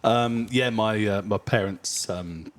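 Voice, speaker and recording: Brief: low-voiced man, brewery owner, halting and filler-heavy interview talk. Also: slight background noise. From Nottingham area, England. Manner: hesitant, many ums and uhs. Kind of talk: casual interview chat.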